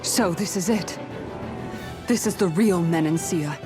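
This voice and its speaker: A woman's voice: on the low side, a little slow, over music, lightly acted. A woman voicing a fierce young captain in a dramatic video game, grieving and betrayed, with raw intensity. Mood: resigned.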